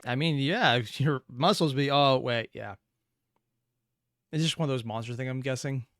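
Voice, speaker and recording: A man's voice: medium pitch, normal speed, fairly bright tone, soft background music. A man hosting a reaction video, talking casually in a rambling, analytical way.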